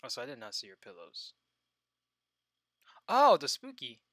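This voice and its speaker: Excitable young man streaming a game. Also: medium pitch, normal speed, dry recording, clean studio sound. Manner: excitable, joking, swings between shouting and muttering. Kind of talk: casual gameplay commentary.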